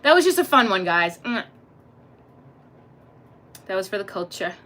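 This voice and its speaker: A young woman live-streaming, chatting casually and reacting to her chat in a rambling, slangy, animated way. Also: medium pitch, unhurried. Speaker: livestreamer reading chat.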